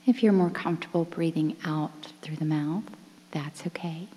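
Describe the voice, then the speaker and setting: A woman guiding a relaxation meditation in a soothing, breathy, near-whispered voice with measured phrases and pauses. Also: low voice, mellow. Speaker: guided meditation instructor. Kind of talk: guided relaxation meditation, softly spoken. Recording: long reverberant hall-like echo.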